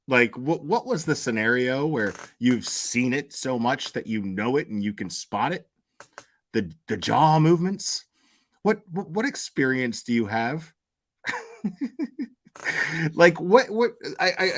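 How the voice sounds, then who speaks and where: A man's voice: medium pitch, a bit bright. Sarcastic man mocking reaction clips. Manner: sarcastic, profane, stammering, laughs at clips. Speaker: commentary host.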